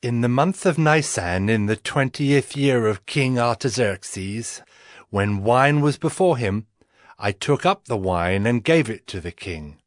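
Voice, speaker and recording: A man's voice: mid-range voice, animated, measured pace, no echo, clean studio sound, natural voice. A man playing a devout, earnest cupbearer in a dramatized audio Bible, solemn and reverent in his delivery. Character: Nehemiah, devout earnest cupbearer to an ancient king. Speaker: narrating lead in dramatized scripture. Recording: music behind the voice.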